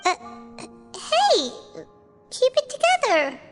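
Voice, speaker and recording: A woman voicing a small, childlike, cheeky fairy companion with a squeaky voice in a video game. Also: huge pitch swings, slow pace, exaggerated voice. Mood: urgent. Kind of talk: video game character acting. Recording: music behind the voice.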